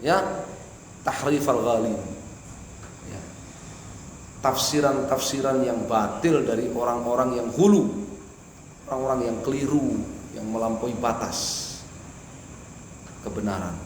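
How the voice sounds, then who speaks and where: A man's voice: medium pitch, slow, long pauses. A man giving a mosque study lecture, reading Arabic phrases and explaining each in Indonesian, asking the audience questions and answering them himself. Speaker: religious teacher. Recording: echoey hall with faint hiss.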